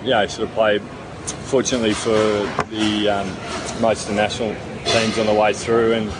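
A middle-aged man from New Zealand, a former rugby player answering interview questions in a relaxed, rambling way with many fillers and restarts. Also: fairly low pitch, moderate pace, very noisy.

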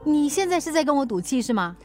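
A strict, exasperated mother in a radio drama, speaking. She interrogates and scolds, quick to anger, over faint music. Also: sits high, big pitch swings, darkish tone. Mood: stern. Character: strict, exasperated working mother of a teenage girl. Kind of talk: Mandarin radio drama acting.

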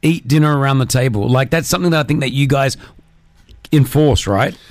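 A man's voice: medium pitch, fairly quick, no echo. A man hosting a radio show, chatting casually with a co-host and taking listener calls, laughing often.

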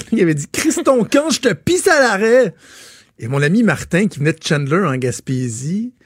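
A man's voice: sits high, normal speed, slightly rough, no room sound, clean studio sound. A man with a Quebec French accent co-hosting a radio show, chatting casually and laughing as he tells stories. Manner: laughing, animated storyteller. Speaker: radio co-host. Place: radio studio. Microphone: studio mic.

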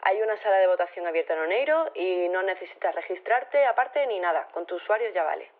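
Earnest concerned campaigner on a tinny phone call, chatty radio drama interview. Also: female voice, lowish voice, lively intonation, fast talker. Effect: phone filter. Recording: thin narrow phone line.

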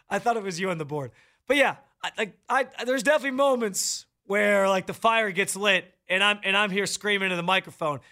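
Loud, bright-voiced sports radio man. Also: high voice, normal speed. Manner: loud, projecting delivery; fired-up, opinionated. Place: radio studio. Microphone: studio microphone, close.

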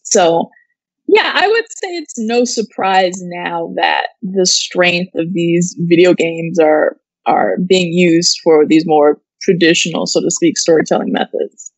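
A woman's voice: lowish voice, measured pace, no room sound, poor audio. A woman co-hosting a casual chat show, explaining informally and thinking aloud as she goes. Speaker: co-host of an entertainment news live show.

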